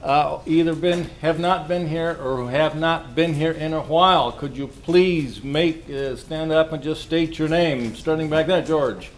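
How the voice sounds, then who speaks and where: Folksy man addressing a meeting, background noise. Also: a little high. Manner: folksy, halting, with self-interruptions and repeats. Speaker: club president hosting a meeting. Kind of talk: informal meeting address to a club audience. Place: indoor club meeting room. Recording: noisy live meeting room recording.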